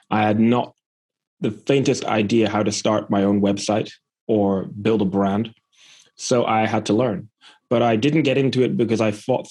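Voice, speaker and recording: Male interview guest from Scotland, lowish voice, plain-spoken conversational storyteller. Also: darkish tone. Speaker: podcast guest, e-commerce entrepreneur.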